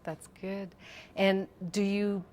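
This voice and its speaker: A woman's voice: medium pitch, flat delivery, slow pace, a little mellow. A woman interviewing a guest for local TV, asking short questions and answering with brief encouragement. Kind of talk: casual interview chat.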